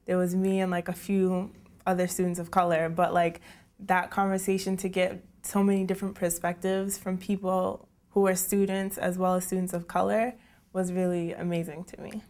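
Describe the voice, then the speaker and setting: A woman's voice: lowish voice, flat delivery, moderate pace, a little mellow. A young woman from the program speaking thoughtfully, with many fillers. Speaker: program participant.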